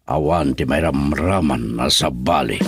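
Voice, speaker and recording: Deep, slow-talking middle-aged father. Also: loud music behind the voice. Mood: smooth, evasive. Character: middle-aged philandering businessman father, smooth, later pleading. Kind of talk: Ilocano radio drama acting.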